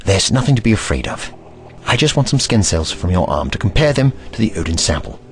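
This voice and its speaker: A man's voice: on the low side, big pitch swings, brisk, faint music behind. A man voicing a haughty, eccentric time-traveling scientist in an audio drama, pompous and lecturing.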